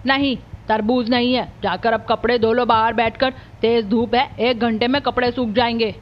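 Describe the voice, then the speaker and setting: A woman's voice: on the high side, little pitch movement, normal speed, lightly acted. A woman voicing a cruel, bossy middle-aged aunt in a cartoon, sneering and commanding, on a muffled recording with music. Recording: muffled audio with music behind.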